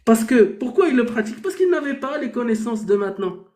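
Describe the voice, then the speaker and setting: A high-pitched man with a mellow, soft-edged tone argues his case on a live debate stream. He fires rhetorical questions and then answers them himself. The room around him rings with echo. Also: big pitch swings, a little fast. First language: Arabic. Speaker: debate host.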